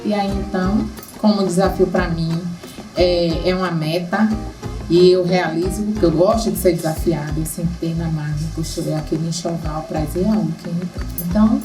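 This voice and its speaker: Woman in her 30s from salvador, lowish voice, lively storytelling, echoey room with music. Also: moderate pace. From Salvador, Brazil. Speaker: candomblé seamstress. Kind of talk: documentary interview. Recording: roomy sound with music underneath.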